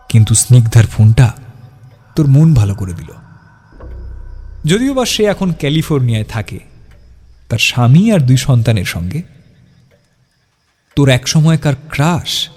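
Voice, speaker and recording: A man's voice: medium pitch, warm tone. A man narrating a scripted horror story in a dramatic, suspenseful storytelling style. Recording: music behind the voice. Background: music.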